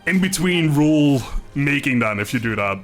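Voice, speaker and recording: A man's voice: on the high side, expressive, normal speed, a bit bright, soft background music. A man casually commentating a video game on a live stream, chatting with viewers in a rambling, good-humoured way.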